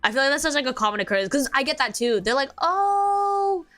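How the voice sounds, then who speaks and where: A woman's voice: high-pitched, expressive, brisk, fairly bright tone, faint music behind. A young American woman streaming, chatting casually and sleepily, rambling with many fillers and restarts. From United States.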